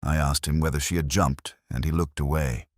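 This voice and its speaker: Man as a very deep-voiced, brooding detective narrator. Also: steady tone, dry recording, clean studio sound. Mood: brooding, melancholy. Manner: measured, brooding, reflective. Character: melancholy, cultured male police inspector, world-weary and introspective. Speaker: first-person narrator of a detective drama. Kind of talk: slow, literary scripted audio-drama narration.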